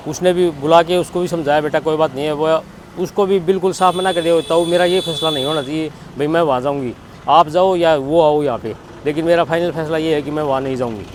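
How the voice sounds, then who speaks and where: Middle-aged Haryanvi man, higher-pitched, outdoor noise. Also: fast talker. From Haryana, India. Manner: plain-spoken, quotes reported dialogue as he narrates. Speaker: bereaved father giving a statement. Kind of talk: spontaneous testimony, recounting events.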